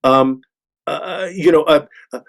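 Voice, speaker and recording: An older man talks in a panel discussion. His voice sits a little high. He stammers and repeats words, feeling his way through each thought. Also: gravelly. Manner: halting, stammering, repeats words as he searches for phrasing. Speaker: actor and panel discussion host.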